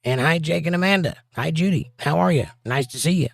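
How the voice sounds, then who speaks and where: A man's voice: a little high, a little fast, gravelly, no room sound. Joking man hosting a chat stream. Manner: jokey, reads viewer comments aloud, breaks into silly bits. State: slightly hoarse and scratchy. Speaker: livestream host reading chat. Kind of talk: casual livestream chat.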